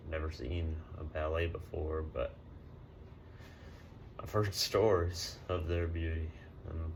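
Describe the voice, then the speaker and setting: A man plays a disgruntled, sheepish guy from a theater audience. His voice is low and subdued, with halting, slangy grumbling in a mock newsreel interview, over faint noise. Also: creaky, heavily acted. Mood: sheepish, disappointed. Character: disgruntled laid-back male audience member, sheepish brawler.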